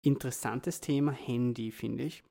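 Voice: medium pitch, fairly even intonation, moderate pace, vocal fry, dark tone. A young adult podcast host with an Austrian accent, chatting casually in a colloquial, rambling way.

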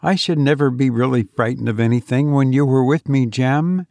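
A man's voice: medium pitch, moderate pace, warm tone, no echo, studio quality, close to an everyday voice. A man voicing a young woman in an audiobook, girlish and emotional, tender and easily startled. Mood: affectionate, teasing. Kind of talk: audiobook character voice.

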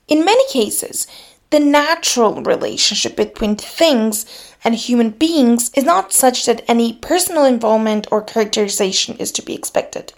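Woman teaching grammar. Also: on the high side. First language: Serbian. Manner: measured, explanatory, switches into Slavic example phrases. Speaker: podcast host teaching linguistics. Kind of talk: scripted explanatory lesson.

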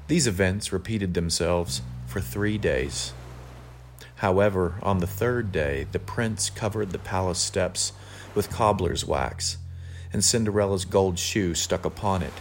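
Male reader, very low and gravelly, measured delivery for poetry and reflective commentary. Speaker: guest reader. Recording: faint background noise.

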